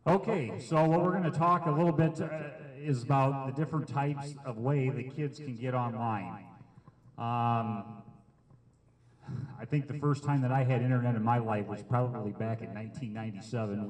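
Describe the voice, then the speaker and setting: A middle-aged man with a loud, carrying voice gives an informal public presentation in a hesitant, plain-spoken way with frequent pauses. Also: mid-range voice, steady tone, big-room sound. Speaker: sheriff's detective giving a public safety presentation. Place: meeting room with an audience. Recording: amplified microphone, distant room pickup.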